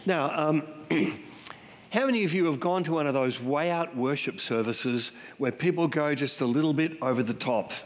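A man's voice: a little high, normal speed. An Australian man preaching in a church, his voice thickened by a cold. He reads scripture and jokes at his own expense, and the sound carries a long hall reverb behind him. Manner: self-deprecating humor, emotional. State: has a cold. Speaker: guest preacher. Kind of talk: sermon with scripture reading. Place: church sanctuary.

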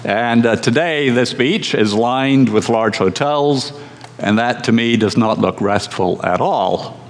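A man preaching from the pulpit at a middling pitch, unhurried and conversational with touches of dry humor. His voice carries a long reverb from the church hall around him. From Scotland. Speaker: pastor. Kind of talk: sermon.

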